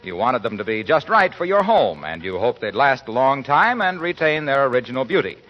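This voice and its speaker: A man announcing in scripted 1940s radio style, polished and persuasive like a genial pitchman. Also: very animated, a little fast, soft background music. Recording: muffled old 1940s radio recording.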